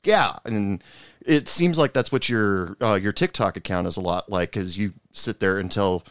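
A man's voice: fairly low pitch, normal speed, no room sound, clean studio sound. A man hosting a podcast, chatting loosely and circling back to reword his questions. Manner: rambling, restarts questions, laughs often. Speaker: podcast host. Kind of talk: casual interview chat.

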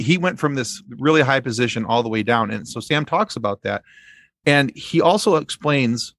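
A man speaking as a podcast guest in casual fan discussion, haltingly repeating phrases as he explains. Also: mid-range voice, a bit bright, dry recording.

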